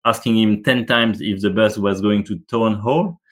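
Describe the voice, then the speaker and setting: A man chats as a guest in a casual interview. His voice sits a little low. He hesitates often, filling gaps with fillers and restarting his sentences as he works toward his point. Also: steady tone, normal speed.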